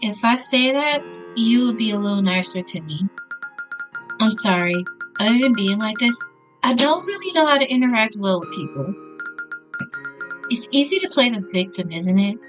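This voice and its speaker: A woman's voice: a little high, lively intonation, moderate pace, long pauses, over music, close to an everyday voice. A woman voicing a self-pitying, coy young female murder suspect in a visual novel, plaintive and teasing. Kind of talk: visual novel dialogue read aloud.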